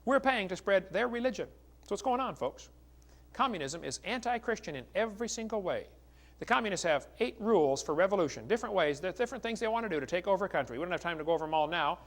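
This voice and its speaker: Casting: American male evangelist lecturer, middle-aged, high voice with a heavy creak, folksy and combative delivery. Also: animated, fast. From United States. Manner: folksy, polemical, addresses listeners as "folks". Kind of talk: religious lecture with read-out quotations.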